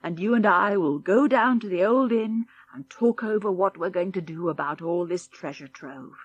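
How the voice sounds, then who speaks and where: A woman voicing a steady, firm old man in a read-aloud story. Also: medium pitch, moderate pace, dull audio, close to an everyday voice. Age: older. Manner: firm, soothing. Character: steady old man who manages his friend.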